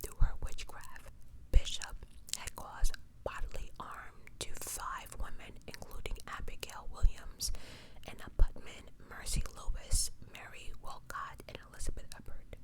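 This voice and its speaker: ASMR host, pure soft whisper throughout, true-crime narration broken up by casual slangy commentary. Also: poor audio. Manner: casual, slangy asides between read passages.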